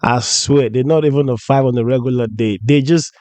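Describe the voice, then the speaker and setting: Hoarse, blunt man hosting reactions. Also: mid-range voice, fairly quick, darkish tone, no room sound. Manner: blunt, joking, repeats himself. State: hoarse from flu. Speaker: reaction channel host. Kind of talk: casual reaction commentary.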